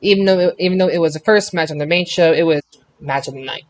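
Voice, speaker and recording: Excitable man hosting a podcast. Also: fairly high pitch, fast talker. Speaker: podcast host. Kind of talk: casual panel discussion of wrestling results.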